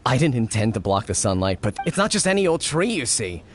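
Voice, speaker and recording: Man playing an earnest, very expressive young villager. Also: mid-range voice, fairly quick, slight background noise, close to an everyday voice. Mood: pleading, earnest. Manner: pleading, stammering, earnest. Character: earnest, apologetic young male villager, dutiful son. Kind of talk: video game voice acting.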